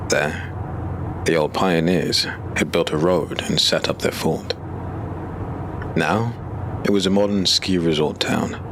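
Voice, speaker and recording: A man giving measured, ominous scripted narration for a dark fantasy audiobook. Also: sits low. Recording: clear background hiss.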